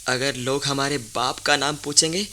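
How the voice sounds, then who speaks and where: An actor playing an earnest, dutiful young grown son from a village in a radio play. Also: male voice, medium pitch, steady tone, moderate pace, pushed and bright, slight background noise. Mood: questioning, troubled.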